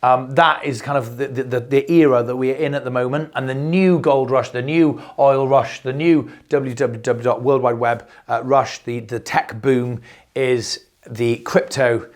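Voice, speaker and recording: Hoarse man explaining crypto. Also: mid-range voice, fairly even intonation, unhurried. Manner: rambling, repeats words, many fillers. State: losing his voice. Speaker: crypto video host. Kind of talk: unscripted explainer monologue.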